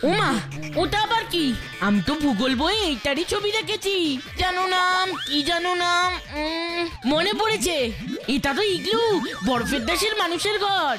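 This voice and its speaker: A woman's voice: very high voice, animated, normal speed. A woman voicing an eager, playful, adventurous young boy in a cartoon. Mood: excited wonder. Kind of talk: cartoon voice acting. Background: music.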